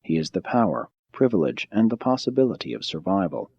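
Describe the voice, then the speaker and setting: A man reading a spiritual audiobook in a measured, solemn and formal manner. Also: fairly low pitch, big pitch swings, gravelly, slightly rough, dry recording, highs cut off. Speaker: audiobook narrator. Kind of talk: scripted audiobook narration of a spiritual text.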